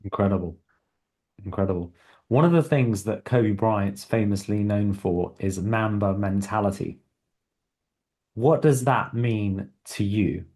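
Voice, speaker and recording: A man hosting a podcast, asking his guest questions in a measured way and searching for his words. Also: fairly low pitch, darkish tone. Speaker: podcast host. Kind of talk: interview questions.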